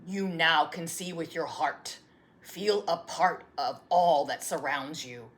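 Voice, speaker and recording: A woman voicing a gentle, loving old grandfather in a solemn, tender storybook read-aloud. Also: deep voice, slow, pitched below the performer's own voice. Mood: proud.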